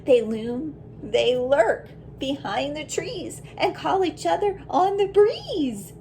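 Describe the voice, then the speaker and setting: A woman's voice: high-pitched, animated, takes it slowly, dark tone, a little hiss or hum, pitched above the performer's own voice, heavily acted. A woman voicing an imaginative little girl in a storybook, with a dramatic, emphatic delivery. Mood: dramatic, brave. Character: imaginative brave little girl starting school.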